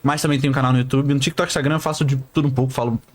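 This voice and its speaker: A man's voice: medium pitch, steady tone, very fast. A man chatting casually on a podcast in a joking, self-deprecating way. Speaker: podcast guest, social media creator.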